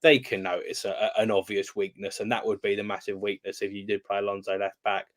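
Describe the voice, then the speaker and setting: A man's voice: lowish voice, flat delivery, fast. A man on a casual football podcast, hesitant and rambling with many ums. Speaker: guest panelist.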